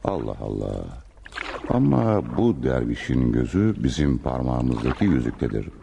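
Very deep, gravelly Ottoman sultan. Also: very animated, measured pace, noisy background, heavily acted. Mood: puzzled, amazed. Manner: archaic, courtly speech with exclamations. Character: stately, devout, puzzled Ottoman sultan. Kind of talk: Turkish radio theatre acting.

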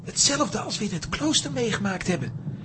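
A woman's voice: medium pitch, very animated, normal speed, background noise, natural voice. A woman voicing a hot-tempered, earnest young boy adventurer in a children's audio drama.

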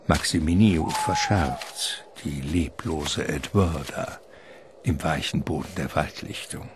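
A man acting as the darkly theatrical, gravelly narrator of a gothic vampire radio play. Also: extremely low pitch, slow pace, noisy background. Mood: ominous. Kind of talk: literary radio drama narration, gothic storytelling.

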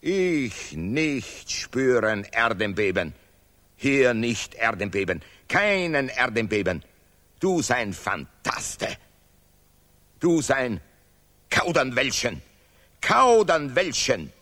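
An actor playing a stubborn, contemptuous Nobel laureate scholar in a stylized radio play, declaiming broken German in emphatic, repeated phrases. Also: male voice, medium pitch, very animated, slow pace, a bit bright, big-room sound. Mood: dismissive. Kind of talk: stylized avant-garde radio play acting.

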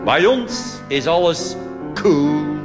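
A man's voice: on the high side, expressive, very slow, big-room sound, over music. A man playing a smug, boastful American male tourist who speaks broken Dutch full of English phrases and then croons a cabaret song. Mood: crooning. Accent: American-flavoured Dutch with English phrases. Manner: broken grammar, drawling, self-satisfied. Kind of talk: cabaret character monologue and song.

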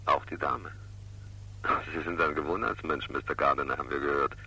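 A very sharp, creaky, low-pitched go-between. He is raspy, formal and insistent, menacingly polite in the style of a sixties German radio thriller. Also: fairly even intonation, normal speed, a little hiss or hum, exaggerated voice, poor audio. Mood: coolly polite. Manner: formal, clipped, evasive. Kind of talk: 1960s German radio crime drama acting.

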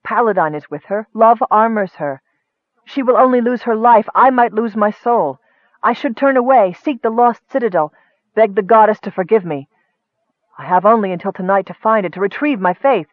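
A man's voice: high-pitched, expressive, fast talker, few pauses, no echo. A man voicing a devout, fearful and protective cleric in a fantasy audiobook, earnest and pleading. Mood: weary, torn. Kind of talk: audiobook character acting. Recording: muffled, dull lo-fi recording.

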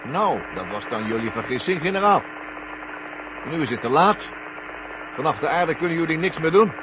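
An actor playing a smug, scornful traitor astronaut who talks in a mocking, condescending way, in a 1970 Dutch radio drama. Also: male voice, on the high side, expressive, moderate pace, background noise, close to an everyday voice. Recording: muffled, humming 1970 radio recording.

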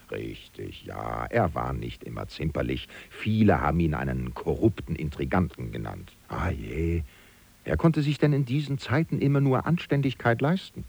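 A man playing a loyal, disillusioned political aide who muses and questions aloud to the listener in a German radio drama, with a gravelly voice. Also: darkish tone, full character voice. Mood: wryly indignant. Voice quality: gravelly, very deep. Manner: musing, chatty, rhetorical questions. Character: loyal, disillusioned male aide to a fallen politician, wryly indignant.

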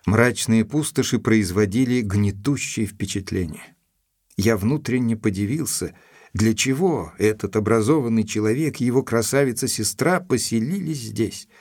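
An actor playing an observant, courteous gentleman who narrates an audio drama in a measured, literary, polite way. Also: on the low side, no room sound, clean studio sound.

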